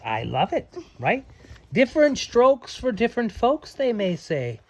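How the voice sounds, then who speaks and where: A man's voice: sits high, huge pitch swings, measured pace. A man reading aloud to children as their dad, with playful, folksy, chatty asides.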